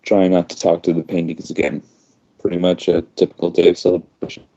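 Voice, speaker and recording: A man's voice: low-pitched, monotone, brisk, vocal fry, dark tone. A man reading a first-person story aloud as an amateur, sometimes restarting a line. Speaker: amateur fan fiction reader. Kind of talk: scripted narration read aloud in first person. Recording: dull lo-fi microphone.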